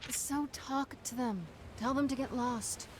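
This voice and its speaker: An actress playing a sharp-tongued, impatient female pirate captain in a video game, sarcastic and brash. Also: high voice, a little slow. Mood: irritated. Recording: noisy ambient background.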